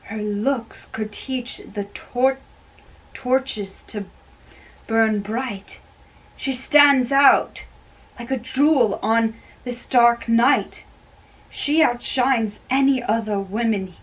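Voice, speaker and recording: Girl playing lovestruck young guy, shakespeare read-through, slow n careful, kinda high voice, dreamy. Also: slightly rough, low-quality recording. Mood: dreamy, smitten. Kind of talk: amateur dramatic reading of Shakespeare.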